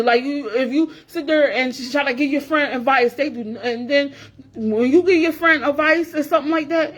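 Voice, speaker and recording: A woman in her forties talking straight to her viewers in a blunt, profane rant, repeating herself for emphasis. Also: high-pitched. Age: 40s. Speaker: vlogger. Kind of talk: casual rant to camera.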